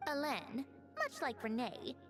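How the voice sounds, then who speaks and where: Game role: brisk, dry young woman, high and animated, bossy with a wistful side. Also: full character voice. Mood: wistful, reflective. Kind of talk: video game voice acting. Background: game music.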